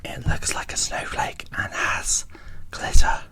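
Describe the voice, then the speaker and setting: Slow, whispering woman. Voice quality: breathy, unvoiced whisper. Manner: hushed, unhurried, describing small details. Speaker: host of a doll unboxing video. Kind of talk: ASMR unboxing commentary.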